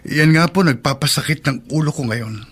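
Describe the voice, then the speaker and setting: A man's voice: on the high side, normal speed, a bit bright, husky. An actor playing a wealthy politician and father, firm and commanding, in a radio drama. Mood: steady.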